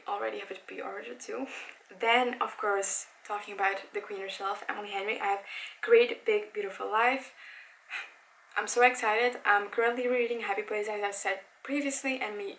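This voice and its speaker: A woman's voice: medium pitch, a bit bright, a little hiss or hum, rough recording. A woman in her early twenties, a native Dutch speaker, chatting casually and eagerly about books for her channel, often correcting herself as she talks. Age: 20s. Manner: chatty, enthusiastic, with frequent self-corrections and "like". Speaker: book vlogger. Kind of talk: casual chat. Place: home room by a bookshelf.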